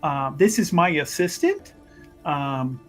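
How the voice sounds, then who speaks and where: A man's voice: fairly high pitch, lively intonation, takes it slowly, faint music behind. A man playing in a tabletop roleplaying game, improvising in character with a hesitant, roundabout, repetitive way of talking. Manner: hesitant, repeats words, roundabout.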